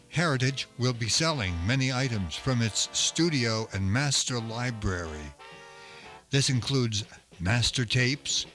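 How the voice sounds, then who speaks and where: A man presenting an old-time radio programme in a measured, scripted announcing style. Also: mid-range voice, a bit bright.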